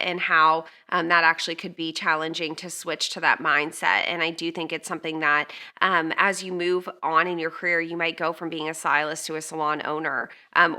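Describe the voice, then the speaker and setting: A woman hosts a beauty podcast in a loose, chatty way. Her voice is bright and forward, sitting slightly low, and she pads her thoughts with "um" as she goes. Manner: chatty, many "um" fillers. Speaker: podcast host. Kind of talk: casual interview and reflection. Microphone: video call.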